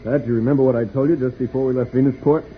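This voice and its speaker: An actor playing a calm, protective space-adventure hero, speaking earnestly in a 1950s radio serial. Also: male voice, mid-range voice, rapid-fire, natural voice. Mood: grave, steady. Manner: earnest, explanatory. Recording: tinny, hissy old radio recording.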